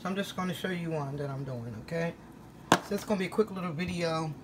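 A woman's voice: on the low side, quick pace, very noisy. A tired woman after a long workday, chatting casually as she walks through a home recipe, filming on a handheld phone in her kitchen. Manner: chatty, repeats "all right?" and "guys". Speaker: home cook vlogger. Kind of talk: casual cooking walkthrough. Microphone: phone held in hand.

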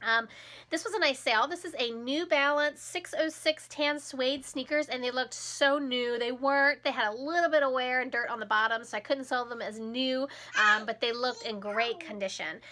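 A woman's voice: high voice, moderate pace, pushed and bright. A woman in her thirties, a little froggy from a cold, chatting casually and cheerfully at home to a close camera, laughing often. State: recovering from a cold, a bit hoarse. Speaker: resale vlogger. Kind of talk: casual chat. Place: small room at home, indoors.

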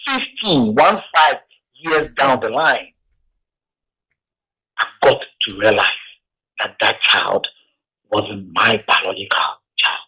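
A man from Ghana, calling in to a radio show by phone and telling his story haltingly, with restarts. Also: lowish voice, sharp tone, breathy edge, low-quality recording. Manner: heavy, halting account with restarts. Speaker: caller.

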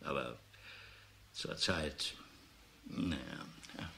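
An actor playing a disillusioned, weary professor in a radio drama, musing and sardonic. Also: male voice, a bass voice, gravelly, bright, forceful tone, a little hiss or hum, full character voice. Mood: resigned. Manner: musing, sardonic, halting and emphatic by turns. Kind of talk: German radio drama acting.

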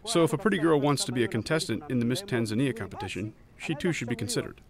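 Animated man over crowd noise. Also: mid-range voice, quick pace. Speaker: street interviewee.

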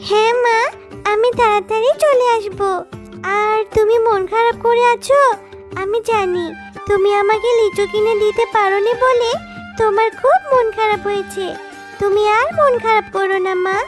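A woman's voice: very high-pitched, steady tone, normal speed, over loud music. A woman voicing a sweet, eager little fox girl who coaxes and begs in a childlike way in a children's cartoon. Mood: sweet, reassuring. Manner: childlike, begging and coaxing. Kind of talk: Bengali children's cartoon dubbing.

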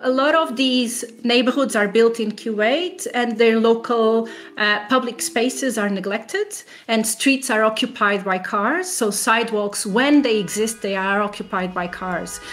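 A woman's voice: fairly high pitch, measured pace, hardly any pauses, fairly bright tone, soft background music. A woman researcher giving explanatory answers in an interview. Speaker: academic researcher being interviewed.

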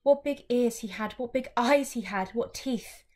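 A young woman reads poetry aloud in a high voice. Her delivery is dramatic, with deliberate and measured phrasing that gives each short line its own space. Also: darkish tone. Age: young adult. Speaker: student reciting poetry. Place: small furnished room at home, indoors.

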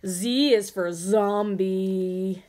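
A woman reading a children's picture book aloud with expressive, dramatic emphasis. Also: medium pitch, drawn-out words. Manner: expressive, putting on playful voices and dramatic emphasis. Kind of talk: animated storybook read-aloud for children.

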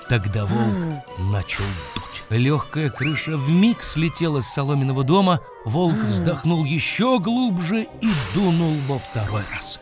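A storyteller narrating a scripted fairy tale for children in an expressive, dramatic manner. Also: medium pitch. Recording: music behind the voice. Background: music.

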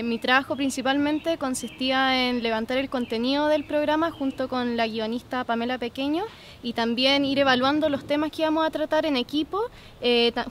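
A woman in her twenties with a Chilean accent, explaining her work on a programme in an interview. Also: sits high. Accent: Chilean Spanish. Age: 20s. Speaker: programme content producer and co-host. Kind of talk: documentary interview testimony. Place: garden outdoors, seated interview.

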